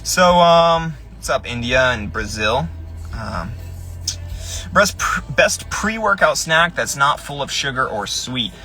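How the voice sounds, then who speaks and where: A young man chats loosely on a live stream from the driver's seat of his parked car, his voice bright and forward. He rambles and restarts often, filling pauses with ums. Faint music plays behind him. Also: medium pitch, lively intonation. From Tennessee. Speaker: fitness influencer, personal trainer. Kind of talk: casual live-stream chat.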